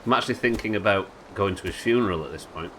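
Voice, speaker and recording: A man with a nasal voice, a little hoarse from illness, chatting wryly and swearing casually while streaming a game live. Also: fairly low pitch, quick pace, a bit bright, slight background noise, rough recording. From United Kingdom. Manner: chatty, wry, swears casually, muttering asides. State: sick, slightly hoarse.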